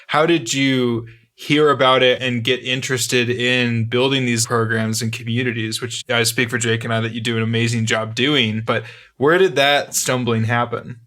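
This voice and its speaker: Guy hosting a podcast, bright friendly voice, upbeat. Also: mid-range voice, level tone, normal speed. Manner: friendly, upbeat, laughs easily. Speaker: podcast host. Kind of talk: conversational interview.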